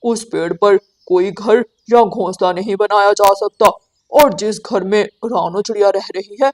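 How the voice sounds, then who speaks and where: A woman's voice: mid-range voice, a little slow, a little mellow, close to an everyday voice. A woman voicing a scheming, irritable male crow in a cartoon, blunt and agitated. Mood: scornful.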